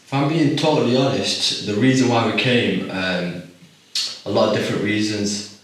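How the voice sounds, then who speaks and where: A calm man in his twenties with a low voice, from Iraq. He speaks thoughtfully and pauses on ums, recorded from a distance in a very echoey room. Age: 20s. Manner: calm, reflective, many ums. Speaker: guest. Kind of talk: casual interview.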